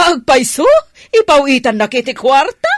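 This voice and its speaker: A woman's voice: sits high, sing-song, takes it slowly, fairly bright tone, no echo, studio quality, heavily acted. A woman playing a scheming, gambling grandmother in a radio drama, talking in a sly, gossipy way. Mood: gossiping.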